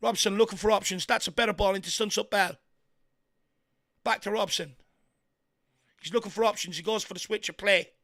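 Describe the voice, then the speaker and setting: An Irish man hosting a fan watchalong, giving excitable partisan live commentary on a football match with a croaky, worn voice. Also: sits high, a little fast, pauses often. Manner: excitable partisan, shouts encouragement at players. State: voice going croaky from hours of talking.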